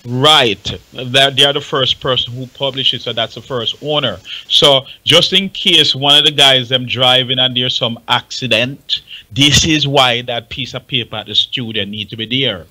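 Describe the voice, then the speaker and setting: A man's voice: mid-range voice, normal speed, bright, forceful tone, low-quality recording. A man with a Caribbean accent making his case emphatically in a panel discussion, repeating points and asking rhetorical questions. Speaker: talk show panellist on music business.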